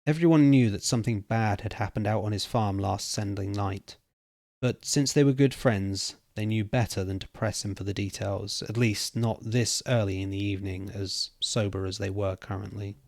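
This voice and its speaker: A man's voice: fairly low pitch, normal speed, gravelly, a little mellow, no echo. Man narrating a story, dry close sound. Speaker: reader.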